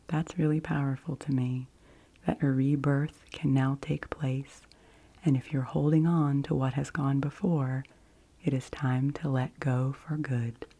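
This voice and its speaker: Very low, slow whispering ASMR woman. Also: husky. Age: 40s. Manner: soft, gentle, unhurried delivery. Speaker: ASMR host doing an oracle card reading.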